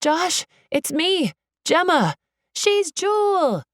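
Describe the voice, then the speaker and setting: A woman voicing excited teenage superfans shouting urgently in an audiobook. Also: very high-pitched, slow, no echo, clean studio sound, voice pushed up, heavily acted.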